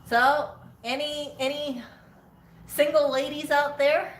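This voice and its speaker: A woman's voice: high-pitched, steady tone, takes it slowly, crowd noise. Chatty teasing woman in a roomy shop. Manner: chatty, teasing, laughs often. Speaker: live stream co-host. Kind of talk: casual chat on a live stream.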